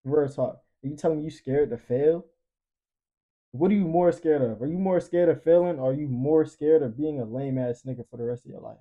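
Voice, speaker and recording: A man's voice: fairly high pitch, fairly quick, phone-quality sound. A twenty-year-old man talking casually and emphatically, preaching motivation in profane slang with repeated catchphrases. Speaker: podcast panelist.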